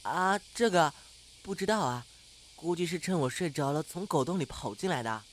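A young performer playing a timid, excitable half-demon who is hesitant and easily flustered, in an audio drama. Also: mid-range voice, normal speed, slight background noise, close to an everyday voice.

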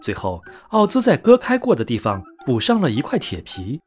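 A man narrating a scripted bedtime story for children in an expressive, clearly phrased way. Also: medium pitch, moderate pace. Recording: soft music under narration.

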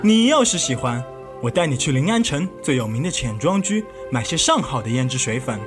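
Animated, self-assured young nobleman, played by an actor. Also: mid-range voice, fairly quick, lightly acted. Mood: indignant, familiar. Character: brash, generous young master of a rich house. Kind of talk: Chinese period audio drama acting. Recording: clear music underneath.

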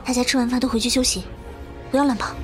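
A woman's voice: fairly high pitch, fairly even intonation, fast, soft background music, some chatter behind, close to an everyday voice. A woman playing an earnest, emotional young caretaker of children in a zombie audio drama. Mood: worried. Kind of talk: Chinese fan audio drama acting.

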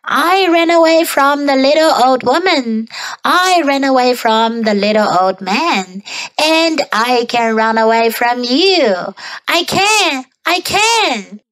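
Woman voicing a high, cocky little gingerbread man. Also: animated, takes it slowly, a bit bright, full character voice. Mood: boastful. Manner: taunting, singsong. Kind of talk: bilingual children's storybook character voice.

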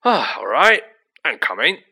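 A man voicing a gruff, hot-tempered, loyal giant groundskeeper in a storybook, with a roaring, growling rustic manner. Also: a little high, sing-song, a little slow, pushed and bright, pitched above the performer's own voice, full character voice. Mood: stubborn, loud.

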